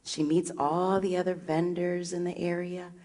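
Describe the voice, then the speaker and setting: A woman putting on a gentle older mother from Liberia, spinning an unhurried story in a low-pitched, soft-spoken voice. The sound rings with a long echo of a big hall. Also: flat delivery, close to an everyday voice. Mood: storytelling. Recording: echoey hall.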